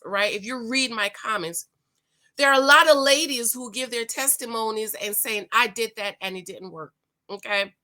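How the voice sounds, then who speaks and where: A hoarse woman with a raspy voice, giving preachy, emphatic relationship commentary straight to her viewers. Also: on the high side, pushed and bright. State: hoarse, voice still recovering. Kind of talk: casual talk to camera.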